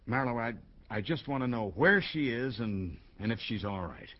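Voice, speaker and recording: An actor playing a troubled, blunt American businessman and wronged husband in a radio drama, speaking haltingly. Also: medium pitch, lively intonation, highs cut off, close to an everyday voice. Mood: uneasy, halting. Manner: halting, hesitant, with bursts of bluntness.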